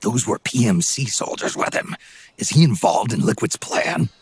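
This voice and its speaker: An actor playing a gruff, aging soldier in a video game, terse and dry with a gravelly rasp. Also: male voice, medium pitch, big pitch swings, normal speed. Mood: tense. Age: older. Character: gruff, world-weary aging male soldier, sardonic and terse. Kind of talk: video game cutscene acting.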